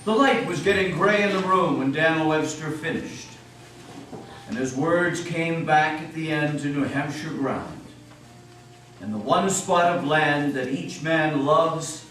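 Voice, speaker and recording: A man's voice: a little high, a bit bright. A man narrating a scripted dramatic story reading, theatrical and solemn in delivery. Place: old meeting house, live performance. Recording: very echoey hall, distant mic, faint noise.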